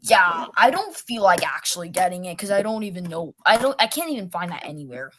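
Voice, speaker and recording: A woman's voice: fairly low pitch, lively intonation, fairly quick, crowd noise. A woman guest chatting casually on a video call, teasing and quizzing the others.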